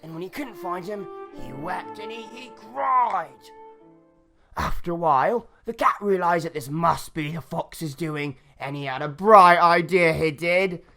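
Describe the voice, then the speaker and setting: A woman's voice: fairly low pitch, a little slow, fairly bright tone. A woman storyteller narrating a children's folk tale. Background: instrumental music.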